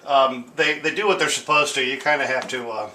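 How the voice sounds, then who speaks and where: A man with a bright, forward voice explains equipment casually and a bit hesitantly. He sounds informal and unscripted, recorded in an echoey room with faint background noise. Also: mid-range voice, fairly quick. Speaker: tape deck restorer demonstrating a machine. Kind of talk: casual unscripted product demonstration. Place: indoor room at home. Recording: roomy, echoing sound with hiss.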